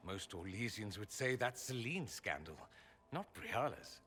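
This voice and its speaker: A man's voice: lowish voice, big pitch swings, unhurried, a bit bright. A man playing a suave, teasing aristocrat in a video game, with an arch, theatrical manner. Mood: pointed.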